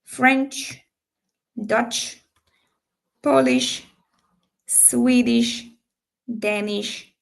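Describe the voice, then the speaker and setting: A woman recruiter hosting a live question-and-answer session, explaining job details in an unhurried way with frequent fillers and slightly non-native phrasing. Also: on the high side, vocal fry, rough recording.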